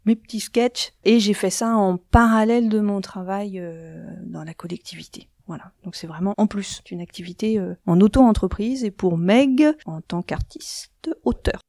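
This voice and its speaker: Woman, from central Brittany, middle-aged, hesitant with fillers, mellow, dry. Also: mid-range voice, normal speed, few pauses, no room sound. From central Brittany, France. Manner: hesitant, many fillers and restarts. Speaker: game creator, guest. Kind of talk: casual interview chat.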